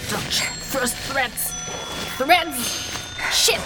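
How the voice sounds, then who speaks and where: A very high-pitched, goofy girlish adventurer acted by a woman in a fantasy audio drama. She blurts and whines, drawing her words out slowly. Loud music plays under her voice. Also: animated, full character voice, low-quality recording. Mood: frantic, straining. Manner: complaining, blurting. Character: goofy young female rune-wielder, whiny, easily distracted.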